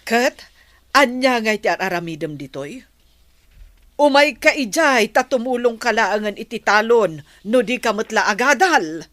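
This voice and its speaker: Nagging mother, swooping pitch. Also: expressive, a little slow, a bit bright. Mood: bossy. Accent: Filipino. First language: Ilocano. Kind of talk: radio drama acting.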